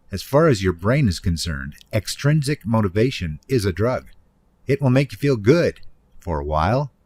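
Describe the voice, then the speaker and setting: A man's voice: fairly low pitch, darkish tone. A man reading scripted nonfiction narration in an explanatory manner, speaking directly to the listener. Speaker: audiobook narrator, author reading own book.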